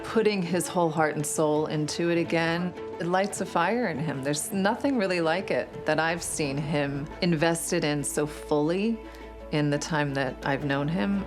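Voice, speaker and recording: A woman with a very low voice shares personal recollections in a documentary interview. Music runs clearly behind her words. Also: measured pace.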